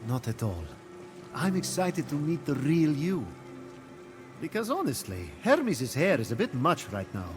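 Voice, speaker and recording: A sincere young hero in a video game cutscene, plucky and determined with a dry edge. The voice is somewhat high and moves a lot in pitch. Music is clearly audible underneath. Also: male voice, very animated, unhurried. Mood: warm, earnest.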